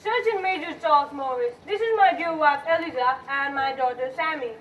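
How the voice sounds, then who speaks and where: A woman's voice: high-pitched, a little fast, fairly bright tone, highs cut off. A young woman playing a grumbling, hospitable father in an amateur school stage play. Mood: hearty, curious. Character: grumbling, hospitable middle-aged father and husband. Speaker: student actor in a school play. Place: school stage, recorded from a distance. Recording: distant noisy school stage recording.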